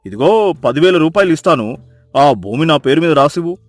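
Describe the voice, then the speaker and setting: A man's voice: fairly high pitch, animated, a little fast, dry recording, lightly acted. A man voicing a greedy, pompous, bossy city brother-in-law in a moral story. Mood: alarmed, coaxing.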